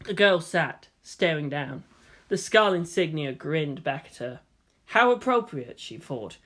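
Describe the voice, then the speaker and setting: Storybook narrator with a medium-pitched voice. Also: lively intonation, a little slow, fairly bright tone. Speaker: fan fiction reader.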